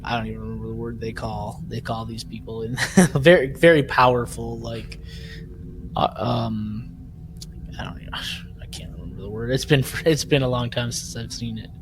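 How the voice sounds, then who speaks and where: A man co-hosting a podcast in a casual fan discussion, animated and rambling as he searches for words. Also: mid-range voice, normal speed. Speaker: podcast co-host. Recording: clear background noise throughout.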